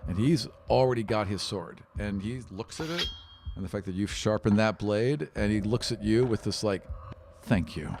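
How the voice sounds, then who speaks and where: A man's voice: on the low side, normal speed, noisy background. A man speaking casually as a game master, improvising narration for a tabletop roleplaying game. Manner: casual, conversational.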